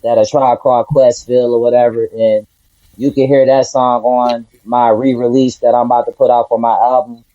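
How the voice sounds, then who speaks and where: A man's voice: mid-range voice, little pitch movement, moderate pace, warm tone, no room sound, lo-fi sound. A man from Detroit, a rapper, answering interview questions in a laid-back, earnest way. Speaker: rapper guest.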